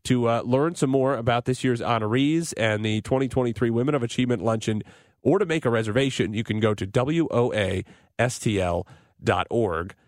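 A man hosts a sports radio show from a close, dry microphone. His voice sits at a medium pitch. He lays out his soccer analysis in a measured, articulate way. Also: no room sound.

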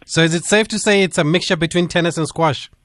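A male radio host introduces the guest and asks short, measured questions. His voice sits a little high, and it sounds close and dry, with no room echo. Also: a bit bright. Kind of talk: radio interview hosting.

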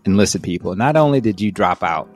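A man hosts an interview podcast with lively enthusiasm, speaking in a fairly low register. He turns to the audience to frame each question for his guest. Faint music plays underneath. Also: quick pace. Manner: enthusiastic, addresses listeners directly. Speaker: podcast host. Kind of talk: interview hosting.

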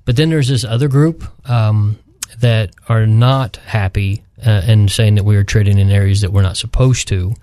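A man speaking as a radio panel guest, explaining in a hesitant, measured way during a conversational discussion of religion. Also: fairly low pitch, fairly even intonation, mellow, dry recording, clean studio sound.

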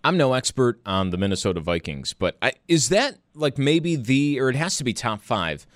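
A man's voice: medium pitch, big pitch swings, normal speed, no echo. Casual male sports host, dry close sound. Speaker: sports talk host interviewer.

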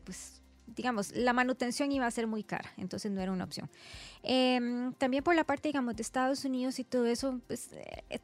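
A woman's voice: fairly high pitch, a little mellow. A woman with a Costa Rican accent talks conversationally as a radio interview guest, explaining things and often checking back with small tags. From San José, Costa Rica. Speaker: interview guest, resident doctor.

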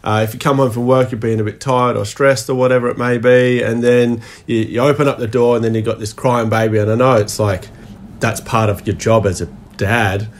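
A young father, talking things over in a measured, reflective way with his partner. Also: mid-range voice, fairly even intonation. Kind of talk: casual couple chat.

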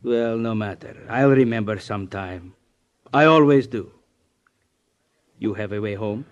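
An actor playing a suspicious, commanding communist party boss in 1950s radio drama style, authoritative and probing. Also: male voice, fairly low pitch, measured pace, muffled recording, lightly acted. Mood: coolly probing. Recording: noisy 1950s radio recording with clicks.

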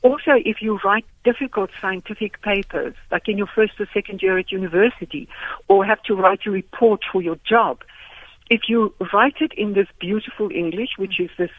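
An older woman with an Afrikaans-influenced South African accent, whose first language is Afrikaans, explaining things eagerly and quickly as a guest expert. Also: medium pitch, no room sound. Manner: enthusiastic, quick explanatory talk. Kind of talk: radio phone interview. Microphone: telephone. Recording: dry, tinny phone line.

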